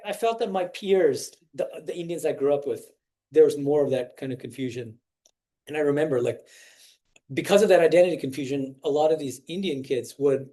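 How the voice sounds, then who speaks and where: Forties male swami, a bit high-pitched, loose and chatty, restarts sentences, keeps checking in with the room. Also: a little mellow. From United States. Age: 40s. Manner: conversational, often restarts phrases and quizzes the listeners. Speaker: swami giving a spiritual talk to students. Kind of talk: informal spiritual talk with audience questions.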